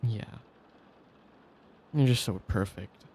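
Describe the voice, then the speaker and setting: A man's voice: on the low side, slow, dark tone, slight background noise. A man performing an intimate sleep-aid roleplay as a doting, teasing boyfriend, speaking tenderly with rain ambience behind him. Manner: tender, praising, teasing, chuckling often.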